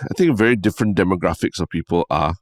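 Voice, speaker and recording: A young Singaporean man with a deep, low voice talks easily on a podcast. He sounds relaxed and keeps tagging his sentences with "lah" and "right?". The sound is dry and close, with no room around it. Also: fast, no echo. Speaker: podcast co-host. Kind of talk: casual podcast chat.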